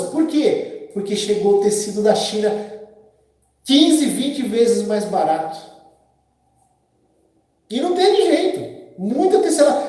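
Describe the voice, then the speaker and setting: A man's voice: sits high, mellow. A man, hosting a casual live stream and chatting with viewers by name in a rambling, opinionated way. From Brazil. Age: 50s. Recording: very echoey room.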